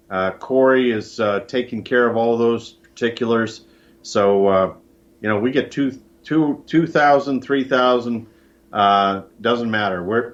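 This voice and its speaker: Man, enthusiastic interview guest, conversational, mid-range pitch, muffled band-limited call-in audio. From United States. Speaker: guest, contest organiser.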